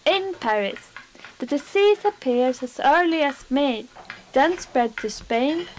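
A woman's voice: sits high, low-quality recording. A woman playing an anxious, apologetic young kitchen worker in a radio drama, nervous and pleading. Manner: nervous, pleading, then earnest reading aloud.

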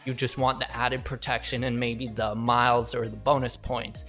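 A man hosts a casual interview and picks up his guest's points in a measured, conversational way. His pitch is mid-range. Soft music plays faintly behind him. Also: level tone.

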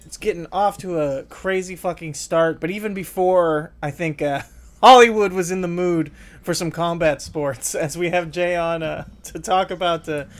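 Guy hosting a podcast, kinda high voice, just chatting casually. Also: normal speed. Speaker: podcast host. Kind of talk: casual chat.

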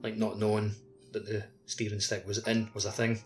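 A man's voice: lowish voice, level tone, normal speed. A man co-hosting a podcast, talking casually about video games. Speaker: podcast co-host. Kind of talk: casual game discussion chat. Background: background music.

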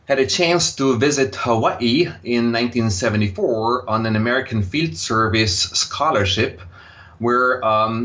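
A man in his sixties with an Austrian German accent, answering interview questions in a measured, thoughtful way with frequent fillers. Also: mid-range voice, sharp tone. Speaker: guest, impact investor. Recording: slightly dull lo-fi audio.

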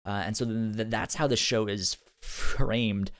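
A man co-hosting a podcast, chatting casually in a halting way and often repeating words as he goes. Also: fairly low pitch, steady tone, fairly bright tone, dry recording, studio quality. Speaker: podcast co-host. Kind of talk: casual podcast review chat.

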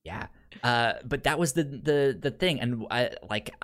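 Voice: mid-range voice, a little slow, a bit bright. A podcast co-host chatting casually about films, often stammering and repeating syllables and words. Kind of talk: casual conversational film chat.

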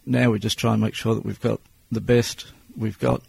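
Australian business owner in his 60s, low flat delivery, plain-spoken, measured. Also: vocal fry, husky. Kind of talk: interview answers.

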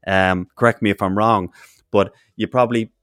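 A low-voiced man hosts a podcast, putting long, rambling questions to his guests. The sound is dry and close to the mic. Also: steady tone, moderate pace, fairly bright tone, no room sound. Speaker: podcast host. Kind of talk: interview host and ad read.

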